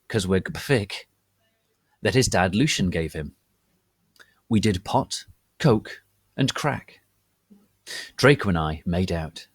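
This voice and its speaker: A man's voice: lowish voice, dry recording, studio quality. A man voicing a melodramatic, bratty goth teenage girl who narrates her story in a theatrical, petulant, emphatic way. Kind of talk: dramatic reading of amateur fan fiction.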